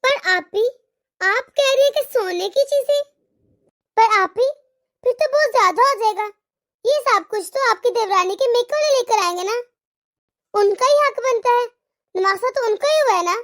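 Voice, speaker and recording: A young daughter-in-law in a cartoon family tale , acted by a woman, with a tiny, extremely high voice. She speaks respectfully but stands her ground with pointed questions. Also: animated, normal speed, long pauses, a bit bright. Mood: polite, questioning. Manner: pleading, argumentative, respectful address. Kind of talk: animated family drama dialogue.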